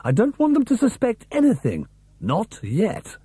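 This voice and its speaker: A man acts out a brisk female schoolteacher in a storybook reading. The voice is lifted well above a man's usual pitch, decisive and conspiratorial, with a faintly husky edge. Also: huge pitch swings, warm tone, dry recording, full character voice. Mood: brisk, secretive.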